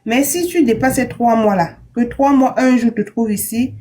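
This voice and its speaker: Brief: teasing woman host of a live call-in, bright high-ish voice, faint hiss behind. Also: normal speed. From USA (Brooklyn, New York). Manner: chatty, teasing, laughs often. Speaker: live stream host giving visa advice. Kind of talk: casual live call-in chat.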